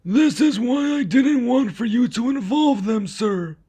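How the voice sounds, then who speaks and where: A man voicing a fussy, loyal old royal attendant in an ASMR roleplay, high, strained and deferential. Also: lively intonation, measured pace, mellow, husky, exaggerated voice. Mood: anxious, dutiful. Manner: deferential, anxious.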